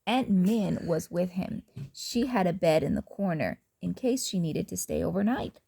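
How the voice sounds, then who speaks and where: Storyteller mom reading to kids; lively, slightly gravelly read-aloud voice. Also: female voice, lowish voice, moderate pace. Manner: warm, chatty asides to the children.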